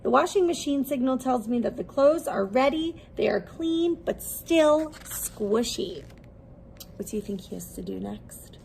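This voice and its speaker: Slow-paced woman reading aloud to preschoolers. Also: high voice, gravelly, a little hiss or hum. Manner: expressive, simple, deliberate phrasing for small children. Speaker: preschool teacher. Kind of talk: children's read-aloud with questions to young listeners.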